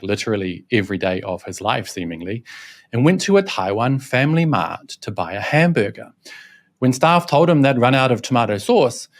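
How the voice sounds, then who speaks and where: A young man hosting a scripted news commentary, mocking and scornful in tone. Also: medium pitch. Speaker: host, reporter. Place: Shanghai.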